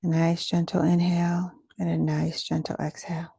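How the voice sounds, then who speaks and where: Woman doing a guided meditation, soft gentle pacing w pauses, very mellow tone, dry close sound. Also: on the low side, little pitch movement, creaky, breathy edge, no echo. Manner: gentle, soothing, measured delivery with pauses.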